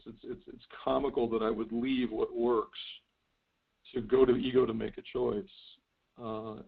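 Middle-aged male caller, creaky voice, thin telephone sound, reflective and halting with light amusement. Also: medium pitch. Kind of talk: reflective personal sharing on a call. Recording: thin phone line.

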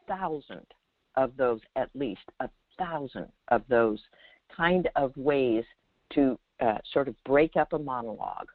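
Expressive teacher on a phone line. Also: medium pitch, a little slow, dry recording. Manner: emphatic, repeats phrases, checks in with "right?" and "okay?". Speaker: host, meditation teacher answering a caller. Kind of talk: spiritual guidance in a call-in conversation. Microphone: phone call. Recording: narrowband phone line sound.

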